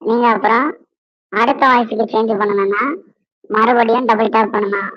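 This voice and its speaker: A man voicing a female-sounding preset through a pitch-raising voice changer in a product demonstration. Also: little pitch movement, normal speed, no room sound. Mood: plain, instructive. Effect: electronic pitch-raising voice changer. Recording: dull, dry voice-changer audio.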